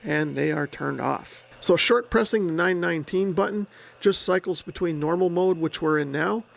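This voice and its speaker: A man's voice: fairly high pitch, moderate pace, creaky, a bit bright. A man explaining equipment methodically and plainly, step by step, as the host of a product demonstration. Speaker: host, radio reviewer. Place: indoor room.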